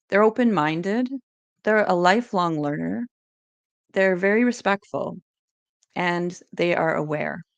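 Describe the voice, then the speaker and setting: A woman's voice: fairly low pitch, gravelly, no room sound. A woman giving an educational presentation, reading encouraging tips in a scripted, list-like way.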